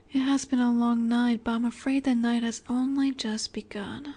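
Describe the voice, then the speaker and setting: A woman playing a poised, teasing young vampire in an intimate audio roleplay, speaking gently and unhurriedly with breathy asides. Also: fairly high pitch, lightly acted. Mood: wry, reassuring.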